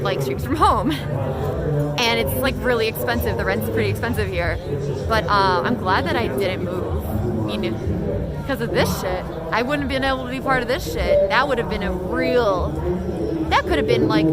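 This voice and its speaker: A tired young woman livestreaming from a street protest, rambling in loose casual chat and losing her train of thought. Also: on the high side. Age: young adult. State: tired late at night. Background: loud music.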